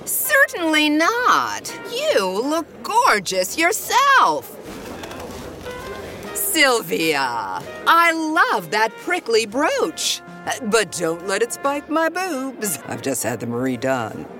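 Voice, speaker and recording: An actress playing a brash, vain, worldly socialite widow in an audio drama, with a knowing, theatrical drawl. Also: female voice, fairly high pitch, huge pitch swings, extremely slow, over music, heavily acted. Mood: preening, playful.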